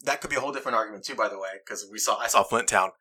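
A man's voice: lowish voice, racing, bright, forceful tone, husky. A young man hosting a casual talk show, earnestly arguing his point and reading quotes aloud. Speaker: podcast host. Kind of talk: casual debate chat.

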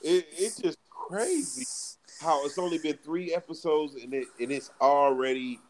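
A man co-hosting a casual podcast, talking in a hyped, slangy way and repeating words when excited. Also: a little high, moderate pace, poor audio. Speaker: podcast co-host.

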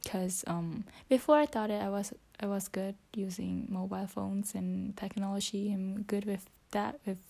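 A teenage woman, an English speaker, talking to her phone in a casual vlog, hesitant, often searching for words, correcting herself and dropping into a soft half-whisper. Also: medium pitch, fairly even intonation, moderate pace, creaky, few pauses. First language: Vietnamese. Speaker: student vlogger. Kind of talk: casual self-talk vlog practicing English.

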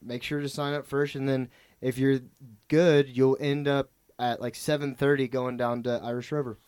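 A man's voice: mid-range voice, flat delivery, normal speed, darkish tone, clean tone. A man, a comedian guest on a podcast, chatting casually in a rambling way, laughing often and swearing.

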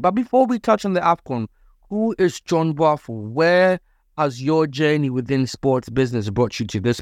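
A man's voice: medium pitch, no echo, clean studio sound. A man hosting a sports business podcast, introducing his guest and asking questions in an upbeat, formal way. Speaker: podcast host.

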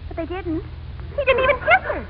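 A woman's voice: very high-pitched, sing-song, brisk. An actress playing a starry-eyed young woman who gushes breathlessly about romance, in 1940s radio sitcom style. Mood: swooning. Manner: breathless, swooning, dramatic gushing. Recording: noisy, muffled old radio recording.

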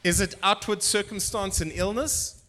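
A man preaching a sermon in short bursts, asking rhetorical questions and pausing for an interpreter. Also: sits high, fairly even intonation, sharp tone. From not from Brazil. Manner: emphatic, rhetorical questions, pauses for translation. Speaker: guest preacher.

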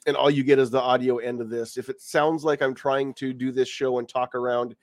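A man's voice: medium pitch, little pitch movement, a little fast, dry recording, clean studio sound. A man hosting a casual live podcast, congested from a cold and talking around a lozenge in his mouth. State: congested, getting over a cold. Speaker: podcast host. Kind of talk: casual live-stream chat.

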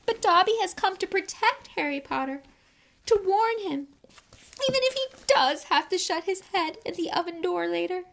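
A tiny, tearful male house-elf, as a woman reads him, squeaking far above ordinary speech with a gravelly, strained edge. His voice swoops wildly up and down as he pleads and fusses, always fervent and servile, like a creature from a storybook. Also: animated, normal speed, a bit bright, exaggerated voice. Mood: pleading. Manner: refers to himself in the third person, fervent and pleading.

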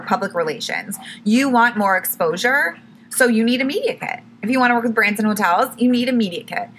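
A young woman hosting her own podcast, talking casually and correcting herself often, a little hoarse as she starts to lose her voice. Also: mid-range voice, fairly bright tone, slight background noise, low-quality recording. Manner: chatty, self-correcting, says "like" and "literally" a lot. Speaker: podcast host. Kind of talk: casual solo podcast tips.

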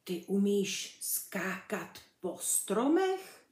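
A woman's voice: medium pitch, animated, warm tone, natural voice. Little storybook dog, male, innocent and easily baffled woman performing; tentative, wondering delivery, roomy sound. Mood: puzzled wonder. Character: naive, puzzled little male mongrel dog. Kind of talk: children's story character reading. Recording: roomy echo.

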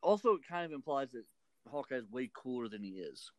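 A man from Texas in casual chat as a podcast co-host, joking and quick to correct the other speaker. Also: mid-range voice, very animated, no room sound.

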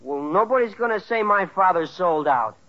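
An actor playing an earnest, loyal schoolboy son in a 1940s radio sitcom, hesitant and boyish. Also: male voice, high-pitched, animated, normal speed. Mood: sheepish, loyal. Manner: hesitant, gee-whiz boyish. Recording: dull vintage radio recording.

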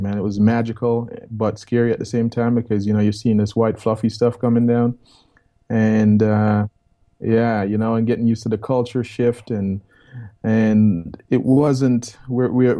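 A man in his fifties from Jamaica, with a Jamaican accent, telling his life story as an interview guest in a rambling, relaxed way, repeating words and saying "man". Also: lowish voice. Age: 50s.

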